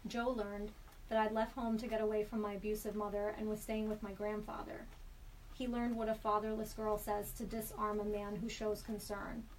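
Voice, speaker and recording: A woman in a studio reading a personal story aloud in a measured, deliberate way. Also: medium pitch, flat delivery, a little mellow. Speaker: storyteller guest. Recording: slightly distant mic with faint background hiss.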